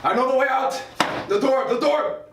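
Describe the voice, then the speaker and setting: Man acting out a high-pitched frantic patient in echoey room. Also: normal speed. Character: frantic dead male patient raving under anesthesia. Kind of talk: screenplay table read. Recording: echoey room, far mic, clear background noise.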